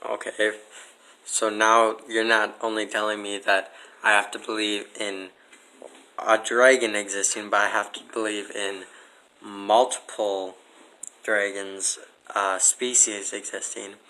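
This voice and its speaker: A man's voice: on the low side, level tone, unhurried, sharp tone, rough recording. A man as a podcast guest in casual debate, speaking in a skeptical, blunt, sardonic manner. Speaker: podcast guest answering questions.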